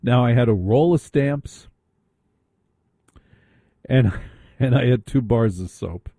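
A man's voice: medium pitch, slow pace, warm tone. A man hosting a late-night radio talk show, telling a chatty anecdote and taking calls. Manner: chatty, anecdotal, interrupts callers. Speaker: radio host.

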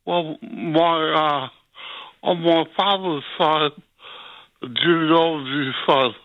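A man calling in to a talkback radio show, speaking in a halting, hesitant way with many pauses. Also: a little high, fairly even intonation, no echo. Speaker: caller. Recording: tinny phone line.

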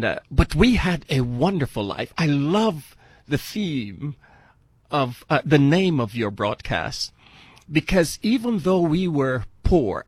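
Older radio guest from Barbados, earnest and unhurried, dry close mic. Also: male voice, medium pitch, breathy edge, dry recording. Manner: earnest, halting with fillers and restarts. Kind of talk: interview, telling his life story.

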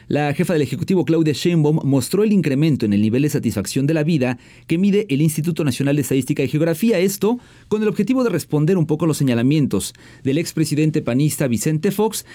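A man's voice: fairly high pitch, mellow. A man with a Mexican accent presenting radio news in a partly scripted, partly conversational style as host.

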